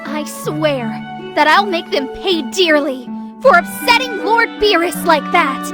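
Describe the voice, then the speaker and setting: A spirited little goddess from a video game speaks over loud music. She sounds youthful, high and earnestly determined. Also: animated, unhurried. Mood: fiercely determined.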